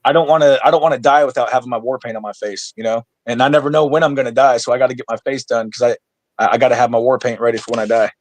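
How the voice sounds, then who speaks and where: A man's voice: mid-range voice, steady tone, rapid-fire, dry recording. Blunt, swearing man near forty, dry sound. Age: 30s. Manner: blunt, swears freely, stammers on restarts. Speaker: guest. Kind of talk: casual conversation.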